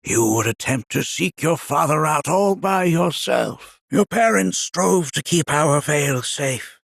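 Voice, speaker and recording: An actor playing a wise, kindly, very old village elder in a video game, raspy and creaky, speaking in formal, archaic, theatrical phrases like a storyteller. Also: male voice, on the high side, animated, dry recording, clean studio sound, full character voice. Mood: tender. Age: older. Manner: formal, archaic, measured storytelling with dramatic flourishes.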